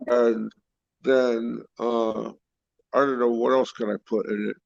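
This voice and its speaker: A congested man with a deep, gravelly voice full of fry, sounding stuffed up and worn. He talks slowly and bluntly in casual chat and curses freely. The recording is dry and dull. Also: flat delivery, no echo. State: congested, coughing.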